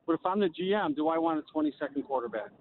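A man's voice: a little high, animated, rapid-fire. A man calling a sports radio show on a dull, slightly noisy phone line, arguing his opinion casually and repeating phrases.

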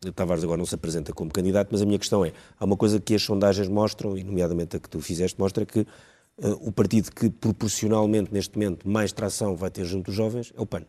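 A male moderator steers a televised political panel. His voice is very low and mellow, and he talks fast, interjecting briskly and pressing the guests with questions. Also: fairly even intonation. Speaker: debate moderator.

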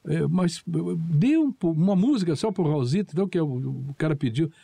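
A man's voice: a little high, lively intonation, a little fast, gravelly, mellow, husky, no echo. An older man from Paraná, a former TV producer recalling old anecdotes and acting out the dialogue. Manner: storytelling, acts out quoted dialogue. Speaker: guest, former TV show producer. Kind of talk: anecdotal recollection.

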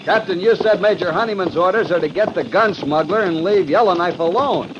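An actor playing an earnest, cautious cavalry lieutenant in a 1950s radio western, speaking formally and urgently. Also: male voice, high-pitched, brisk, natural voice. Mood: worried. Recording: muffled noisy old radio, horse effects.

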